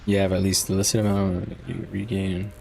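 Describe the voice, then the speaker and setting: A man's voice: sits low, little pitch movement, normal speed, vocal fry, a little mellow, faint music behind. A male streamer giving live gameplay commentary, frustrated and swearing as he thinks aloud. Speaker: game streamer.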